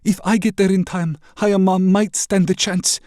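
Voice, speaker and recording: Brave young princess, high and strained, played by a male narrator, dry close sound. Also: flat delivery, normal speed, darkish tone, no room sound, lightly acted. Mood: desperate.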